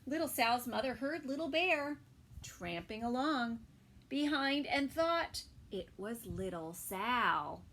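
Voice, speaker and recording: A woman preschool teacher reading a picture book aloud to young children, adding gentle asides and soft whispered comments. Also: fairly high pitch, lively intonation, slow, slight background noise.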